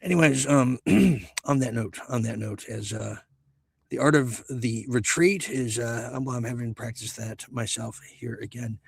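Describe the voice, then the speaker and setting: A male talk show host with a husky, creaky voice that is wearing out, recorded close and dry. He rambles in a casual, musing way, halting often as he goes. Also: mid-range voice, fairly even intonation, dry recording. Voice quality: husky, gravelly voice. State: losing his voice.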